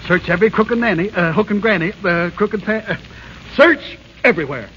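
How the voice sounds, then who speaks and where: A man's voice: sits high, fairly quick, pushed and bright. An actor playing a flustered old dwarf leader, bossy but kindly, who stammers and muddles his words in 1930s radio style. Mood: flustered, urgent. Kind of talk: 1930s radio drama acting. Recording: muffled scratchy 1930s radio broadcast.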